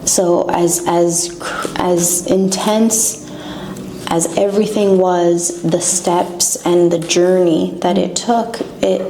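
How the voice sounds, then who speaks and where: A woman from Canada being interviewed as a guest, speaking in short, halting phrases. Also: on the low side, flat delivery, dark tone. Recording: echoey room with faint noise.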